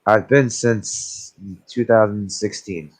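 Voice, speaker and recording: A man in his forties chatting casually as a guest, halting and repeating his words. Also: on the low side, fairly even intonation. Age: 40s. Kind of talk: casual chat.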